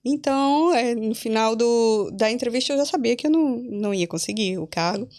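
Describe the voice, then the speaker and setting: A young Brazilian woman guest with a Brazilian Portuguese accent, telling her story in a casual podcast interview with animated, laughing asides. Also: on the high side, normal speed. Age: young adult.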